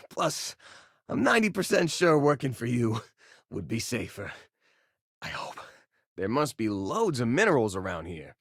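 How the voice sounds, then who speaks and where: A friendly young spacefaring miner for a video game. He is easygoing and earnest, with a casual, folksy way of talking. His medium-pitched voice moves up and down in a lively way, recorded dry. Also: unhurried, creaky, long pauses, husky, no room sound, heavily acted. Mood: friendly, chatty. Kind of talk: video game character dialogue and combat barks.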